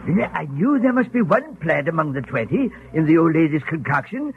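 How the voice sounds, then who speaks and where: An actor playing an eager, polite country physician of long ago, inquisitive and theatrical, in 1930s radio drama style. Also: male voice, on the high side, very animated, a little fast, over loud music. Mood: pleased, earnest. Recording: muffled 1930s radio recording.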